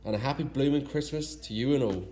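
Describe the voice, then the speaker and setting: A man's voice: mid-range voice, fast talker, warm tone, voice pushed up, lightly acted. A man voicing a grumpy but kindly old Father Christmas for a storybook, with hearty exclamations. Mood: grumbling. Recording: clearly noisy recording.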